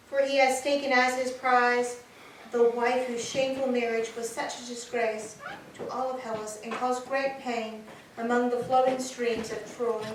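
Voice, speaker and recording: An anguished captive Trojan woman, played by a woman, laments in a highish, declamatory voice. The stage performance sounds distant in a very echoey hall. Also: close to an everyday voice. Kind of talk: staged reading of Greek tragedy. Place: church, staged reading. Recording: very echoey hall, distant mic, some noise.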